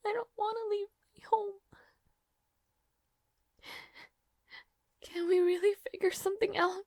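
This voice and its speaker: A woman playing a devoted, lovelorn young maid in an intimate fantasy audio roleplay, speaking formally and haltingly, tearful and earnest, with soft breathy moments. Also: very high voice, fairly even intonation, no room sound, clean studio sound. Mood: anxious, sad.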